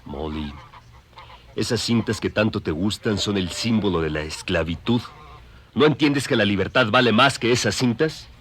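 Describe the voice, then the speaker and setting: Eloquent young boar played by a man, radio drama; lowish pitch, earnest, lecturing reformer. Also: animated, moderate pace, a bit bright. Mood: earnest reproof.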